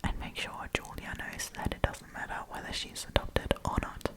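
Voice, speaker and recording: A woman whispering softly as an ASMR host, reading stories and chatting casually with listeners. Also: moderate pace. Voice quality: soft unvoiced whisper.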